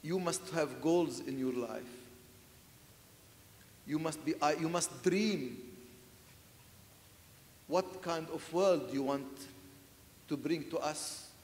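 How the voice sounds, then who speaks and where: A man's voice: fairly high pitch, slow, pauses often, darkish tone. A man answering on a festival panel, earnest and searching for words, often saying "you know". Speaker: panel speaker, church leader. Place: large festival hall with audience. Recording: echoey hall sound.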